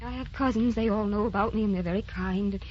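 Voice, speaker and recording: An actress playing a gentle, wistful woman who stays youthful, with a genteel, guarded manner, in a sentimental radio drama. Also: medium pitch, a little fast, close to an everyday voice. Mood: pained, confiding. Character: secretly ageless young-looking woman, gentle, wistful, guarded. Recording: muffled old broadcast with clear noise.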